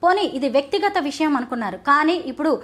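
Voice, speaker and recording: A woman's voice: high voice, animated, racing, fairly bright tone. A woman working as a TV host and journalist, putting news questions and commentary to a guest.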